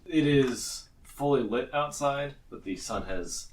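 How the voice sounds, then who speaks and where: A man's voice: mid-range voice, slow pace, recorded from a distance. A man speaking casually as the game master of a tabletop role-playing game.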